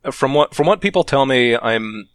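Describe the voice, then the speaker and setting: A man's voice: medium pitch, expressive, normal speed, no room sound. A man rambling through anecdotes as a podcast guest, chatty and laughing often. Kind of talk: casual podcast chat.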